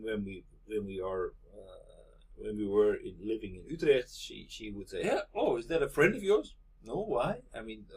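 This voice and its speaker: Husky-voiced man in conversation. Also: fairly low pitch. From Netherlands. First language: Dutch. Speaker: interview guest. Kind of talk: casual conversation.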